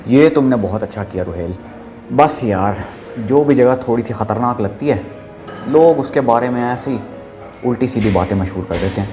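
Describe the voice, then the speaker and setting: A man's voice: mid-range voice, animated, normal speed, warm tone, faint music behind, lightly acted. A man playing a blunt, bold young student keen on hunting trips, in an audio drama.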